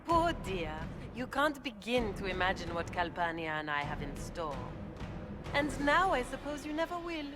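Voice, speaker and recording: A woman's voice: mid-range voice, very animated, moderate pace, music in the background, lightly acted. An actress playing a poised, scheming noblewoman villain who speaks with mocking courtly politeness in a video game. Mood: coldly gloating. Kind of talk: video game cutscene acting.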